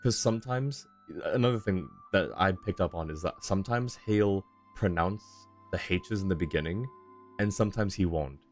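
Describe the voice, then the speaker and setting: A man's voice: low-pitched, normal speed, faint music behind. A man livestreaming a video game with casual commentary, in a roomy-sounding recording. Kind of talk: casual commentary while playing.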